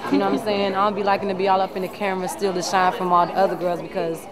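A woman's voice: mid-range voice, level tone, rapid-fire. A young woman talking in a slangy, boastful way in a casual on-camera interview. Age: young adult. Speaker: music video performer. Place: large indoor party venue video set. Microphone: handheld camera close by. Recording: loud crowd chatter behind.

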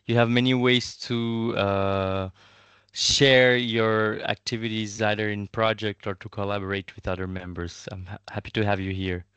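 Man host, low smooth voice, hesitant and friendly, video call, dry sound. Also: little pitch movement, normal speed, a bit bright, no room sound. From Brazil and Italy. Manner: hesitant, many fillers, friendly and encouraging. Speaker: webinar host, community manager. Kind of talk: online webinar facilitation.

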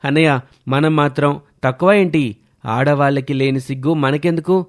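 A man's voice: a little high, normal speed, natural voice. A man voicing a playful young brother-in-law in a cartoon family drama, with an emphatic, teasing delivery.

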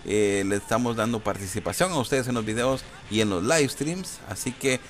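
A man's voice: medium pitch, moderate pace, faint music behind. A man chatting informally and hesitantly as a YouTuber, using slang and repeating himself. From Guatemala. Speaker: YouTuber answering viewer questions. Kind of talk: casual chat over gameplay footage. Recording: clear background noise.

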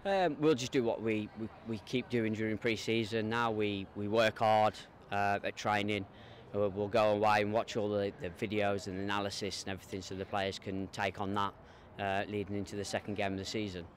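A middle-aged man, a football coach, giving measured, hesitant answers in a post-match interview outdoors. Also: fairly low pitch, level tone. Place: open football stadium pitchside, outdoors. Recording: outdoor noise behind.

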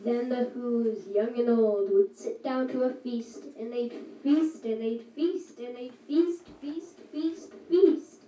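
Woman storyteller, slow rhythmic verse, higher voice, distant, echoey room. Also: warm tone. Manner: slow, rhythmic rhyming reading. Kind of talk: reading a rhyming storybook aloud. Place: school hall with puppet show.

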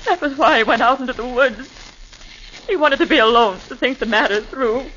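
An actress playing a frightened, grieving widow in a 1940s radio drama, anxious and pleading. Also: female voice, sits high, a little fast, fairly bright tone. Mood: distraught. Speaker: victim's wife. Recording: dull old radio recording with faint hiss.

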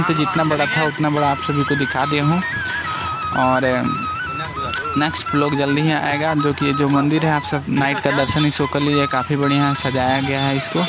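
A young man casually narrating a night motorbike ride through city streets, chatting with his viewers and asking them to like and subscribe. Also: fairly high pitch. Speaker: vlogger. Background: loud background music.

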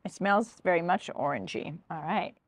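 Older lady reading beer tasting notes, low gravelly voice, dry close sound, chatty. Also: moderate pace, dry recording. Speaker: co-host. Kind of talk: casual beer tasting chat. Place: outdoor brewery patio.

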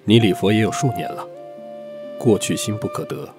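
A man's voice: lowish voice, animated, normal speed, over music. A man playing a weary, guarded middle-aged prince in a historical audio drama, restrained and formal. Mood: gently probing.

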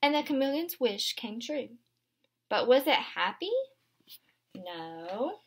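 A woman's voice: fairly high pitch, very animated, takes it slowly. A woman in her twenties, a kindergarten teacher, reading a picture book aloud expressively and explaining it simply for young children. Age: 20s. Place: small furnished room indoors.

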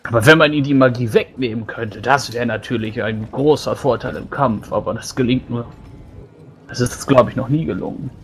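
A man's voice: mid-range voice, a little fast. A man playing in a tabletop fantasy game, explaining things in character. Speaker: tabletop role-player.

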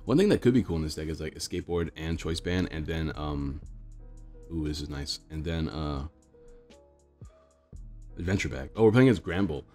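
A man's voice: rumbling low, lively intonation, normal speed, pauses often, warm tone, faint music behind. A young man with a stuffed-up nose casually explaining his card deck to viewers, rambling and talking to them as guys. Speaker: card game streamer. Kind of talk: casual deck tech commentary.

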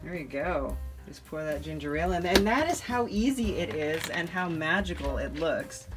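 A woman's voice: deep voice, expressive, normal speed, music in the background. A woman in her thirties hosting in a chatty, playful way with theatrical enthusiasm. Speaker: video host. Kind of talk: chatty demonstration and travel narration.

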